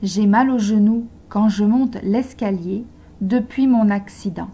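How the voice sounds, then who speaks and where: A woman slowly reading simple model sentences aloud for beginner language learners, pronouncing each one with care. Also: mid-range voice, mellow. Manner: slow, carefully articulated dictation. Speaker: language teacher.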